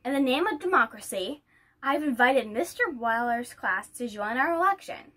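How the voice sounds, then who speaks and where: Woman reading as an encouraging schoolteacher, very animated. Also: sits high, moderate pace, exaggerated voice. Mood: announcing. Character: encouraging, matter-of-fact female elementary school teacher. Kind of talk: children's picture book read aloud.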